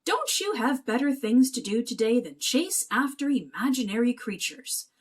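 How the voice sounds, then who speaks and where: A woman voicing a brisk, dismissive uncle mouse in a storybook, jolly but scolding and scoffing. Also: a little high, expressive. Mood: scoffing, bossy. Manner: blustering, scoffing.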